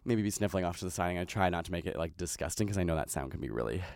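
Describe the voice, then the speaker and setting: A man's voice: low-pitched, animated, racing, darkish tone, dry recording, clean studio sound. A young man with a cold and a stuffy voice, co-hosting a casual chat podcast and rambling a little. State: congested with a cold. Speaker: podcast co-host.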